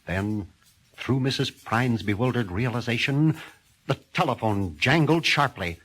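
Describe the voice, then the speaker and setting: A man's voice: medium pitch, expressive, measured pace, fairly bright tone, low-quality recording. A man narrating a horror story in a theatrical, suspenseful reading style.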